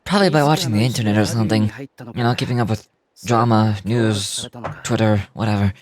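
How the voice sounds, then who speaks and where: A man playing a composed, pragmatic young warrior in fantasy game dialogue, speaking in a measured, matter-of-fact way. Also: on the low side, a crowd in the background, lightly acted. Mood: calm, businesslike.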